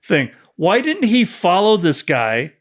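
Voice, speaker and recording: Man, podcast co-host, casual chat, questioning, argumentative, fairly high voice. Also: expressive, a little fast, a bit bright.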